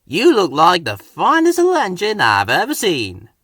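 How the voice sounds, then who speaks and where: A man plays a big old storybook steam engine, friendly and eager to help. The delivery is hearty and folksy, leaping up high with huge swings in pitch. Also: wildly swooping pitch, moderate pace, a bit bright, dry recording, clean studio sound, exaggerated voice. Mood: cheerful, friendly.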